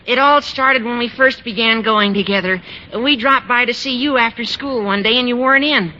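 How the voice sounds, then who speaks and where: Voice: mid-range voice, normal speed, pushed and bright, natural voice. An actor playing an earnest, lovesick teenage schoolboy who gushes naively in a 1940s radio sitcom. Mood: earnest, gushing. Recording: muffled old radio recording, faint noise.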